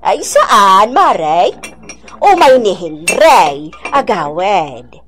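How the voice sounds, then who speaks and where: A woman's voice: mid-range voice, very animated, very slow, fairly bright tone, full character voice. A woman with a Filipino accent playing a boastful, bossy middle-aged mother in a theatrical, emphatic radio drama style. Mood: boastful, inviting.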